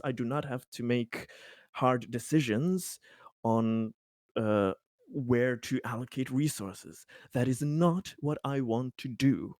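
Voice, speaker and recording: Casting: man as pragmatic male colony official for tabletop roleplay. Mid-pitched, earnest, wordy, halting and emphatic. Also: darkish tone, no room sound, clean studio sound, lightly acted. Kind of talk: improvised tabletop roleplay dialogue.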